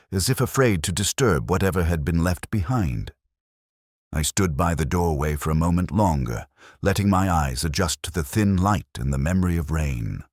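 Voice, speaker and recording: Very deep-voiced, brooding detective played by a man. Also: dry recording, studio quality. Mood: brooding, observant. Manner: measured, literary, brooding, deliberate. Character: melancholy, observant older male detective narrating his case. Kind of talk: scripted first-person mystery narration.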